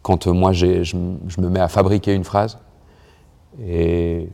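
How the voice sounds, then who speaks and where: A man's voice: low voice, level tone, a little slow, vocal fry, warm tone. A young man, a writer from France, talking about language in an interview, hesitant with many restarts and fillers. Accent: neutral Parisian French. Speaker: writer and poet.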